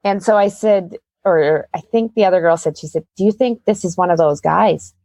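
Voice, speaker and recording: Low-voiced Canadian woman, dry close sound. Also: normal speed, dark tone, no echo. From Calgary, Canada. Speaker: guest, magician's assistant and performer. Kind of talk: casual interview chat, storytelling.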